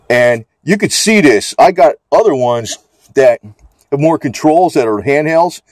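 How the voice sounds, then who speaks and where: A man's voice: mid-range voice, a little mellow, no echo. A man giving a casual, off-the-cuff product demonstration, talking in a rambling, hesitant, folksy way. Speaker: gadget reviewer.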